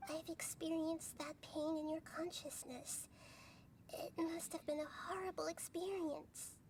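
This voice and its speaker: A woman's voice: very high voice, fairly even intonation, a little slow, darkish tone, slight background noise, poor audio. A woman voicing a small childlike goddess in a video game, tender and grieving, faltering as she pleads close to tears. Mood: sorrowful, sympathetic. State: tearful, close to crying.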